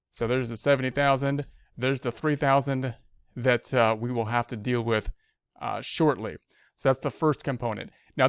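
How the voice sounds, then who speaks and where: A man with a clear, smooth voice at a middling pitch gives a casual tutorial. He thinks aloud and explains each step informally, often restating it. The sound is dry and close to the microphone. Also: dry recording. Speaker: accounting instructor. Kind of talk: casual screen-share tutorial, talking through worksheet steps.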